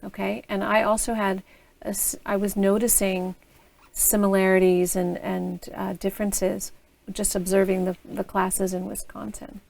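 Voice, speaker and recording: A woman's voice: mid-range voice, little pitch movement, unhurried, creaky, a little mellow. An American woman interviewing student teachers over a video call, asking open questions in an encouraging way. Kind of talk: reflective interview.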